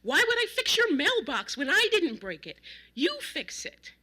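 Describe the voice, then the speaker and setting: Woman doing a loud, blustering old man. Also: very high voice, wildly swooping pitch, measured pace, pitched above the performer's own voice, exaggerated voice. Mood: incredulous, indignant. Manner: bellowing, incredulous. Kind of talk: acted quotes in a spoken anecdote.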